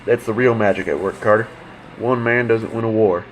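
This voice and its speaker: Guy doing a blunt skeptical army officer, lowish voice, talks fast, gruff, radio play. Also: steady tone, slight background noise, natural voice, low-quality recording. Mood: gruff skepticism. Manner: blunt, cautious. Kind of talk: audio drama acting.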